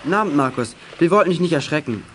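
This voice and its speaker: A man's voice: a little high, lively intonation, rapid-fire, fairly bright tone, slight background noise. A male actor playing a precocious, wordy boy with pompous, mock-formal phrasing in a children's radio play. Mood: polite. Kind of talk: 1980s German children's radio play acting.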